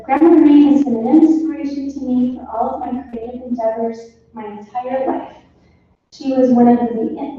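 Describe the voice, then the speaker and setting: A middle-aged woman reading family tributes at a funeral in a halting, informal way. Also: fairly high pitch, dark tone. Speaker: granddaughter speaking for her family. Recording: echoey room, distant muffled mic, faint noise.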